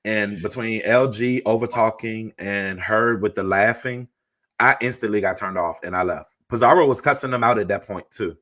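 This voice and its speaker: A man with a deep voice hosting a casual chat, talking in a laid-back, rambling way. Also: normal speed, a bit bright. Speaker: host.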